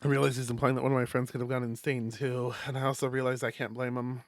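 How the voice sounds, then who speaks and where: A man streaming a live read-aloud of a visual novel, expressive, with stumbles and casual asides. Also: medium pitch, fast, no room sound. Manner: expressive, stumbles over words while reading.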